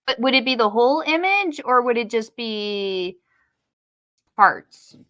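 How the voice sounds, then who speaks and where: A woman's voice: on the high side, expressive, slow pace, a bit bright, dry recording, muffled recording. A woman co-hosting a casual podcast discussion, questioning and thinking aloud in a tentative way. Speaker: podcast co-host.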